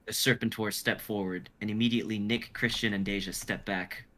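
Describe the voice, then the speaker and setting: A man's voice: lowish voice, fairly even intonation, brisk, sharp tone. A young man on a group livestream, moving between casual chat and dramatic reading of a script. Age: young adult.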